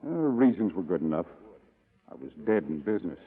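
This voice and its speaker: A sardonic ruined businessman, low voice, tinny 1940s broadcast. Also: big pitch swings, brisk, slightly rough, big-room sound, exaggerated voice. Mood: bitter. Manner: bitter, sardonic. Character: Ken Starr, a bitter, down-and-out former businessman. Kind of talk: 1940s radio acting. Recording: tinny old radio broadcast.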